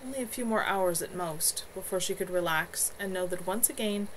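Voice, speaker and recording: A woman reading her own novel aloud as an author on a home livestream, in an expressive amateur narration style. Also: on the low side, fairly quick, a little hiss or hum, low-quality recording. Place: at home, in a room with a bed.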